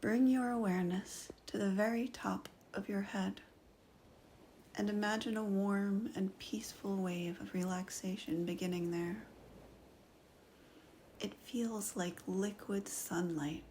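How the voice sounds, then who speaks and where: A woman guides a meditation in a hushed, partly whispered voice, drawing every phrase out very slowly. Her pitch sits mid-range for a woman. A faint ambient hiss lies under the recording. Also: steady tone. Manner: soft, slow, often whispered.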